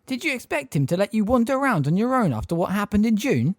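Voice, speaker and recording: A man voicing an eccentric, fussy older woman who talks briskly and scolds impatiently. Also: high voice, big pitch swings, dry recording, studio quality, pitched above the performer's own voice.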